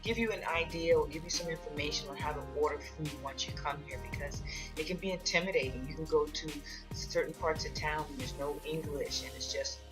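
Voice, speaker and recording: A woman hosting a how-to video, explaining things in a casual, unhurried, plain-spoken way. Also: sits low, music in the background, rough recording. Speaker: host of a how-to video on ordering food.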